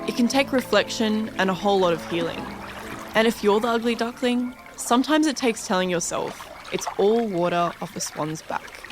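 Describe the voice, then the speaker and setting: A woman's voice: mid-range voice, normal speed, over music. A woman with an Australian accent reading scripted narration as a radio host.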